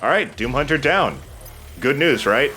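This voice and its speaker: A man's voice: medium pitch, very animated, a little slow, background noise. A man hosting a let's play, chatting casually and agreeably about the game as he goes. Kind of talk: casual gameplay commentary.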